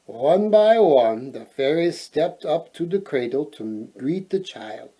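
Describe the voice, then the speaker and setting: Slow-paced, mellow man reading a storybook. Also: fairly high pitch, slightly rough, low-quality recording. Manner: playful, digressive, expressive reading. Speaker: storyteller host. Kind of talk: storybook reading with casual chatty asides.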